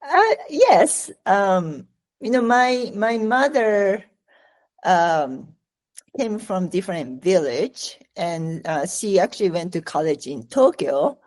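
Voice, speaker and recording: A middle-aged Japanese woman with a Japanese accent, answering interview questions in a slow, halting way with many fillers. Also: medium pitch, big pitch swings, darkish tone. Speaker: guest.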